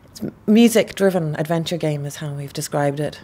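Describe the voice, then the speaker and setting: A woman's voice: low-pitched, moderate pace, dark tone, breathy edge. A woman team leader giving casual, upbeat interview answers.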